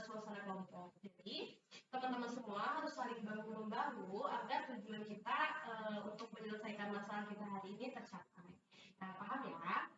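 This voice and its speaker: A young woman leading a group counseling session in a teacherly way, with many hesitant fillers and frequent checks that everyone understands. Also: medium pitch. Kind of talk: classroom-style instruction. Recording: echoey room, distant dull mic, faint noise.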